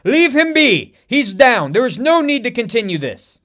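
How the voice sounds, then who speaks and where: A loyal female general of the royal guard, voiced by a man, urgent and dutiful as she reports. The pitch sits slightly high. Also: expressive, brisk, natural voice. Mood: alarmed.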